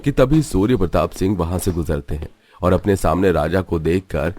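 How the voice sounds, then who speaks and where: A man's voice: low voice, normal speed, a little mellow. A man narrating a scripted cartoon story as the storyteller.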